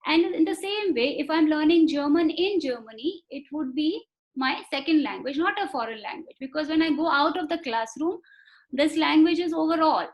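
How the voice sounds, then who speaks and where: A woman giving a webinar lecture over a video call. She talks in a measured way, often repeating words for emphasis and pausing on fillers. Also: sits high, lively intonation. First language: not Marathi. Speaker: webinar speaker on foreign languages. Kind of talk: explanatory lecture.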